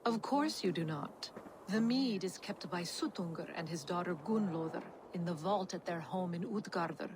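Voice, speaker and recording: An actress playing a powerful, cruel sorceress in a video game, with an imperious, mocking way of talking. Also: female voice, fairly low pitch, unhurried, background noise, lightly acted. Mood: haughty, scheming. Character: powerful, cruel, scornful sorceress, cool schemer. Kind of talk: dramatic video game acting.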